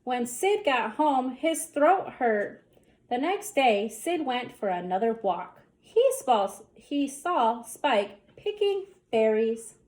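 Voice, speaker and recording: Woman, high-pitched, unhurried pace, expressive children's storyteller, theatrical emphasis, deliberate delivery. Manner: slow, deliberate, theatrical emphasis on action words. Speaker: storyteller reading a children's book. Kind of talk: expressive bedtime story read-aloud.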